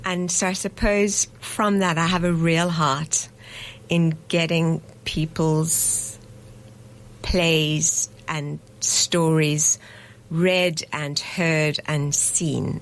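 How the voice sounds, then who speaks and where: A woman's voice: deep voice, slow, poor audio. A woman in her fifties, a festival director from South Africa, talking in a radio studio interview, thoughtful and correcting herself as she goes. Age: 50s. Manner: thoughtful, self-correcting, reflective.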